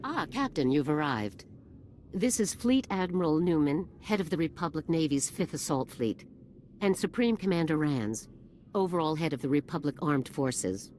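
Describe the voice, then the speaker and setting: An actress playing a stern, authoritative army general in a video game, formal and commanding, over background ambience. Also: female voice, deep voice, lively intonation, moderate pace, a little mellow, slight background noise. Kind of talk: video game dialogue acting.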